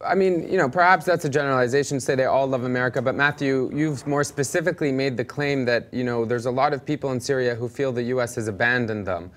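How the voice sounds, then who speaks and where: A man's voice: mid-range voice, quick pace, hardly any pauses, fairly bright tone. A man hosting a live web interview, asking probing questions and rephrasing them as he goes. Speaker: host.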